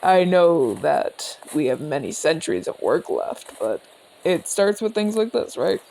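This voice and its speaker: A man performs a friendly old-soldier orc, a former general with a creaky, gravelly edge. His pitch roams widely as he chats in a measured, courteous, inquisitive way. It is intimate ASMR roleplay, addressed straight to the listener. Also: very animated, a little mellow, slight background noise, full character voice, low-quality recording. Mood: earnest, calm. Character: genial retired orc general, male border commander, calm, inquisitive.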